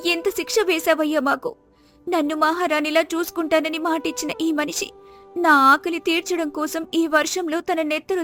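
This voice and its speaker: Woman acting an anguished, high-voiced village wife. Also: fairly bright tone. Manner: lamenting, imploring. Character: anguished, devoted, starving fisherman's wife. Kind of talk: acted moral-story dialogue. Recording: music behind the voice.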